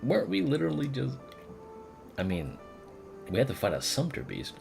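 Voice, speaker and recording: A man's voice: medium pitch, huge pitch swings, normal speed, vocal fry. A man doing casual live commentary on a game, offhand, thinking aloud and reading on-screen text. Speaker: game streamer. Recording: game music behind the voice. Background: video game music.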